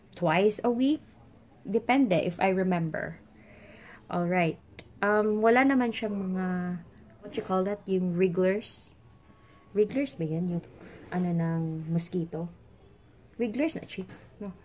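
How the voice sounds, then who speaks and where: A woman's voice: lowish voice, darkish tone, slight background noise. Chatty Filipino woman with a Visayan accent. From Philippines. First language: Bisaya. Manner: chatty, mixes English with Tagalog and Bisaya, talks while working with her hands. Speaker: plant vlogger. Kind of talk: casual how-to demonstration. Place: indoors at a table with potted plants.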